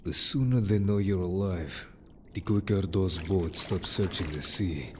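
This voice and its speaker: A man's voice: low-pitched, a little slow, background noise. A man playing a protective, earnest merman in an audio story, speaking bluntly and a little formally to the listener. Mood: resolved. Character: gruff, protective male merfolk, loyal patrolling adventurer. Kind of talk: audio drama roleplay addressed to listener.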